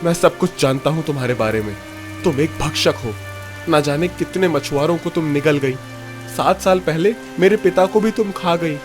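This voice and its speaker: A young fisherman acted by a man speaks in a fairly high male voice, dramatic and stubborn. He is a cartoon story hero, with music and rain clearly behind him. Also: normal speed, lightly acted. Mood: accusing. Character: young fisherman, bitter, suspicious, stubborn grandson.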